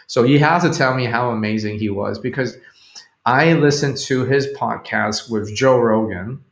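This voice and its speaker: Man, Cantonese speaker, casual livestream chat, hesitant and rambling, medium pitch, echoey room. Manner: rambling, hesitant, thinking aloud. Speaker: livestream host. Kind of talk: casual live chat with viewers. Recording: roomy, echoing home recording.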